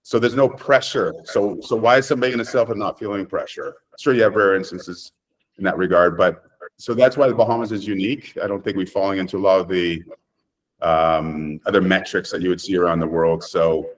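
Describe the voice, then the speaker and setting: Low-pitched man, developer in interview. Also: brisk. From Canada. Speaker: property developer being interviewed. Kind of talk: conversational interview answers.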